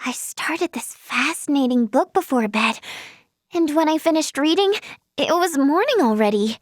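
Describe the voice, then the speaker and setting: A cheerful young female healer from a video game. Her voice is high and girlish, with lively, bouncing intonation in a dry, close recording. She is earnest and bubbly, and trips over her words when flustered. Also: normal speed, no echo. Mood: flustered, embarrassed. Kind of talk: animated video game voice acting.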